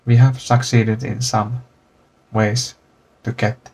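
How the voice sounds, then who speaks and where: Slow gravelly man from Finland. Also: medium pitch, little pitch movement, darkish tone, low-quality recording. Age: 30s. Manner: halting, searching for words. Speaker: patient giving testimony. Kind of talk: recorded personal testimony.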